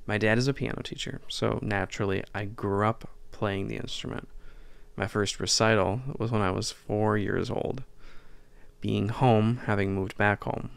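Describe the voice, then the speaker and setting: A man hosting a podcast, delivering a scripted, reflective monologue in measured, deliberate phrases. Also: on the low side, steady tone, vocal fry, clean tone, no echo. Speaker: podcast host and writer.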